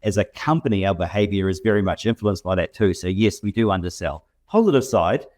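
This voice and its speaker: A man with a New Zealand accent, a guest in an interview, talking in a relaxed way with many ums. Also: low-pitched, fairly quick. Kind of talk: casual interview.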